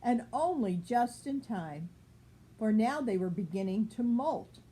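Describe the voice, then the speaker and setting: A woman's voice: a little high, animated, measured pace, dark tone. An older woman reading a picture book aloud as a grandmother, explaining things simply for a child with casual asides.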